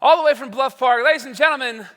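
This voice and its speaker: A man's voice: extremely high pitch, fast talker, fairly bright tone. A man playing a folksy old-time radio show host, recorded on stage in an echoey hall. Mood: showy, welcoming. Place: large church hall stage.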